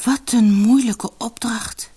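A woman's voice: fairly high pitch, steady tone, takes it slowly, dark tone, breathy edge, pitched above the performer's own voice, heavily acted. A woman voicing a brave, honest young man in a fairy tale, earnest and polite. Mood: nervous, shy.

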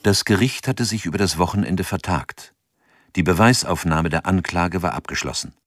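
An actor narrating a scripted radio drama in a sober, factual reporting manner. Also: male voice, sits low, normal speed, slight background noise.

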